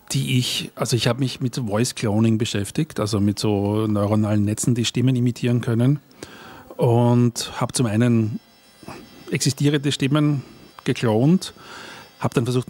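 A male panel guest explains his creative process in a voice of middling pitch. He thinks aloud, stopping and restarting his sentences, with fillers between his thoughts. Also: darkish tone. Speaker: guest, radio play and book author. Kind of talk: conversational panel talk. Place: at a live panel event.

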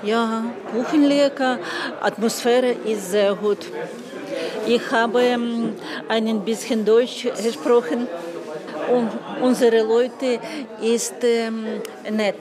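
Female interviewee, Ukrainian accent, very slow hesitant learner's delivery, recorded among chattering people. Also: a little high, fairly even intonation. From Kyiv, Ukraine. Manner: halting, simple learner phrases. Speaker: café guest being interviewed. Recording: chatter of a café crowd. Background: people talking.

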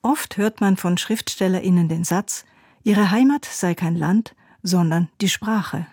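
A woman giving scripted narration for a radio feature in an even, informative way. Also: on the low side, measured pace, slightly rough, no echo, studio quality.

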